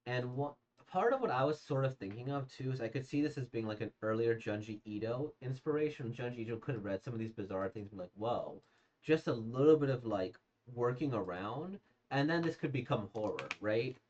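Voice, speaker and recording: Hoarse, congested man rambling about manga. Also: mid-range voice, animated, a little fast, darkish tone. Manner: rambling, with many fillers and restarts. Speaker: manga reader and reviewer. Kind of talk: casual reading and reaction. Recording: dull lo-fi sound.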